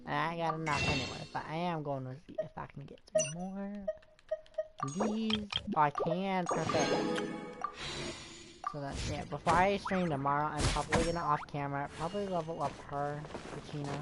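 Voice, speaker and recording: A woman's voice: low-pitched, normal speed. A woman giving casual gameplay commentary as a streamer, rambling and thinking aloud. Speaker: gaming streamer. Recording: loud game music behind. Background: game music.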